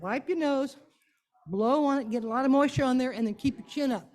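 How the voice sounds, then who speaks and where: Woman with a mellow voice, informal speaker. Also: sits high, fairly quick. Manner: playful, coaxing, conversational. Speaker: guest speaker leading an interactive church talk. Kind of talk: informal talk to a congregation.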